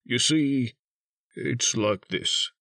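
A man playing a friendly, slow-witted toy bear with a gruff voice and a hesitant, ponderous way of talking for a storybook. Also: lowish voice, wildly swooping pitch, no echo, studio quality, far from the mic, full character voice.

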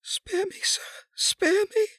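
A man's voice: extremely high pitch, fairly even intonation, slow pace, fairly bright tone, no room sound, in a whisper, voice pushed up, heavily acted. A man voicing a frail, desperate middle-aged lady with a faint, beseeching manner in a dramatic audiobook. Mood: faint, pleading. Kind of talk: dramatic audiobook character reading.

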